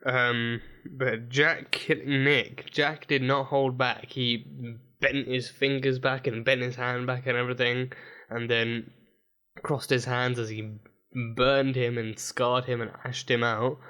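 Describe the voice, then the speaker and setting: A man with a dry, croaky throat recapping a TV episode in a rambling, casual way, full of fillers and restarts. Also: medium pitch, little pitch movement, takes it slowly, sharp tone. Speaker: TV episode reviewer. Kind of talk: casual reaction video recap.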